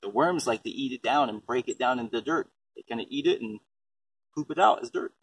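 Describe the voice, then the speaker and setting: A man's voice: medium pitch, animated, brisk, gravelly, fairly bright tone, breathy edge. A man reading a picture book aloud and chatting casually, explaining things simply for a small child. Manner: simple explanations and questions aimed at a young child. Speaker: storybook reader and parent explaining composting. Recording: distant microphone, faint background noise.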